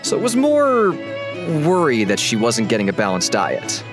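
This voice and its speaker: An easygoing tavern keeper with a mid-pitched voice that rises and falls a lot. He talks in a relaxed, wry, amused way. Game music sits behind him. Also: normal speed. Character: friendly male tavern owner, easygoing and chatty. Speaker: tavern owner. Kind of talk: game voice acting. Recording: game dialogue over background music.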